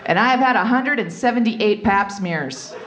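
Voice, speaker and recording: A woman's voice: fairly high pitch, normal speed, heavy background noise. A woman comedian performing a stand-up routine, telling candid, bawdy stories and acting out asides. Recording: live comedy club with audience.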